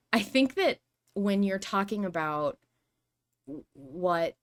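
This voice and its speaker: A woman's voice: mid-range voice, animated, slow. A woman co-hosting a podcast in casual fan discussion, rambling as she reasons aloud through possibilities. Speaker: podcast co-host.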